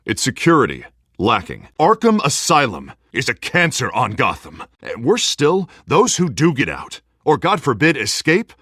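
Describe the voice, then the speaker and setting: A man's voice: medium pitch, wildly swooping pitch, moderate pace, pushed and bright, slightly rough, dry recording, clean studio sound, exaggerated voice. An actor playing a confident, persuasive male politician running for mayor, with polished and assertive delivery, in a video game. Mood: grave, persuasive. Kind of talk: video game voice acting, podium speeches.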